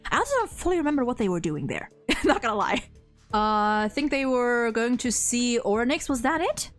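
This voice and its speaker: A woman's voice: on the high side, very animated, normal speed, soft background music. A young woman chatting casually as the host of a gaming discussion, informal and sweary, gushing like a fan. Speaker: host of a video game ranking discussion. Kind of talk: casual chat.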